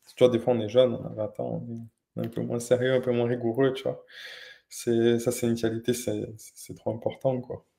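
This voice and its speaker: A young man talking casually as the guest in an interview, his voice mellow and relaxed. He hesitates often, restarting phrases and filling gaps as he goes. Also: medium pitch, fairly quick, gravelly. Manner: hesitant, many fillers and restarts. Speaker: interviewed entrepreneur.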